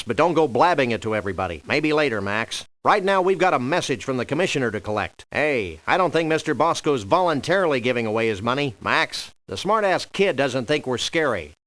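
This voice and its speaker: A man voicing a wry cartoon dog detective in a comic adventure game, with dry, wordy, deadpan sarcasm. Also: medium pitch, fairly quick, few pauses, no room sound, close to an everyday voice.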